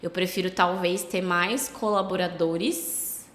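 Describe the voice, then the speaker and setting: A Brazilian woman with a slight cold, a travel agency owner chatting casually as a podcast guest, relaxed and full of fillers. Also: fairly low pitch, slow pace. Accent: Brazilian Portuguese. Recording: echoey room with long reverb.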